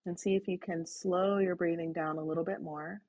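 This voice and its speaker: A woman hosting an online session, guiding a slow breathing and reflection practice in a soft, inviting manner. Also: lowish voice, fairly even intonation. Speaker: host leading a guided breathing session. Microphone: online call.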